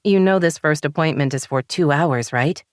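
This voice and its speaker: A woman's voice: low-pitched, moderate pace, no room sound, clean studio sound, lightly acted. A woman voicing a hurt, frustrated married woman who presses her points insistently. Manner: heated, insistent.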